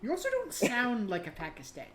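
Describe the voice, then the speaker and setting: A woman's voice: fairly high pitch, huge pitch swings, fast, a bit bright. A woman in casual political discussion as a podcast co-host. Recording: roomy echo with background noise.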